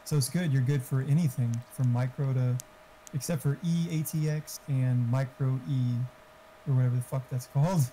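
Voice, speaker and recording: A man's voice: mid-range voice, fairly even intonation, normal speed, warm tone. A man chatting casually in an informal, explanatory way, like someone helping a friend during a game. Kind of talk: casual chat while gaming. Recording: hissy, noisy voice chat.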